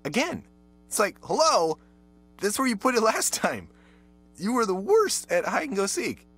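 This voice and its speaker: Puzzled pet dog, animated, higher voice. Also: male voice, unhurried, slightly rough, heavily acted. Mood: bemused. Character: naive, puzzled pet beagle, new to the household. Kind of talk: advert character monologue, conversational.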